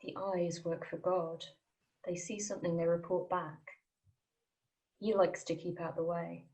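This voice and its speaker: A woman in a script table read playing a guarded, secretive leader of a hidden group, speaking in a hushed, measured, serious way. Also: lowish voice, level tone, lightly acted.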